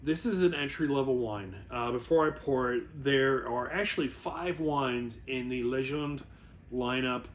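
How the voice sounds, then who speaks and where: A man's voice: medium pitch, measured pace. A man presenting a wine review in a casual, rambling explainer style, often correcting himself as he goes. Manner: informal, rambling, self-correcting.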